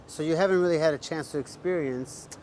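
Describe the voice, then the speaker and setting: A man's voice: a little high, a little fast, darkish tone, a little hiss or hum, rough recording. A man interviewing a guest, asking blunt questions and giving advice in a casual way.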